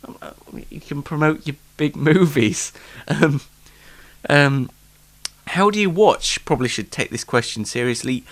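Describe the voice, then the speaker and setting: A man's voice: a little high, unhurried. A British man with a bunged-up nose, chatting off the cuff as host of his homemade show in a rambling, self-deprecating, mock-exasperated way. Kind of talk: casual, unrehearsed solo show chat. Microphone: microphone, speaking alone.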